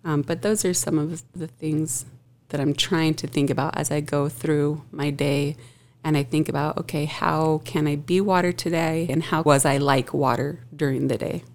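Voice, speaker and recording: A woman talking in a relaxed, reflective way in a casual group chat, often breaking into laughter. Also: deep voice, normal speed, hardly any pauses.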